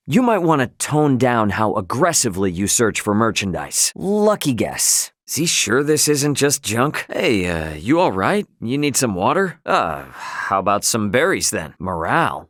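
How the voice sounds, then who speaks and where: A man's voice: medium pitch, big pitch swings, a little slow, fairly bright tone, no room sound, studio quality. A man voicing an easygoing, friendly young trader and adventurer in a video game, with a casual, folksy delivery. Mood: wry, concerned.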